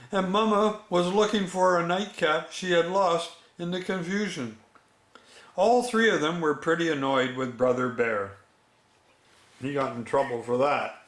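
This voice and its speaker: A man with a slightly high voice reads a bedtime story aloud. He goes very slowly, with expressive pauses and a chatty manner toward children. The room is noticeably echoey.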